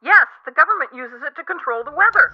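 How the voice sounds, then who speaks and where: A woman's voice: sits high, expressive, fast talker. Thin telephone sound carries a nervous female caller in a radio play. She is earnest and insistent, a true believer in conspiracies phoning in to the host. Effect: telephone filter. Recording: tinny, narrow phone line.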